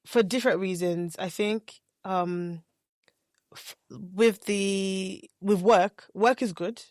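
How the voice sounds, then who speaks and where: A woman's voice: mid-range voice, fairly even intonation, slow pace, a little mellow, no echo, studio quality. A woman co-hosting a podcast in casual chat, chatty and rambling with many restarts. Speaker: podcast co-host.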